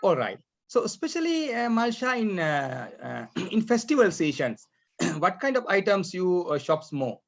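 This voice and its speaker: Halting male tutor on a muffled call. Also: high voice, very animated. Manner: halting, many fillers, frequent throat clearing. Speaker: English exam tutor running a mock speaking test. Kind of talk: examiner questions over an online class. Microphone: video call. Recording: dull lo-fi online call audio.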